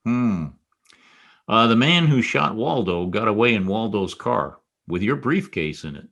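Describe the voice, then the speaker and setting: A man plays a hard-boiled private eye in a radio detective drama. His voice sits fairly low, with a wry, knowing delivery and a dogged streak. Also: lively intonation, a little slow, gravelly, fairly bright tone, full character voice. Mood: dry, wry. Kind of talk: old-time radio detective drama re-creation.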